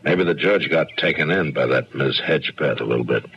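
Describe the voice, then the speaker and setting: Steady, courteous American Old West lawman; extremely low voice, understated, dull vintage radio recording. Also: a little fast. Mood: calm, reassuring. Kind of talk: old-time radio western acting. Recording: muffled old radio broadcast, faint noise.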